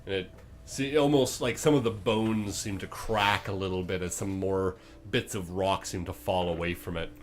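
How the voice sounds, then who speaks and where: A man running a tabletop role-playing game, improvising narration with hesitant phrasing and dramatic pauses. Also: deep voice, steady tone, slight background noise. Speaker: game master.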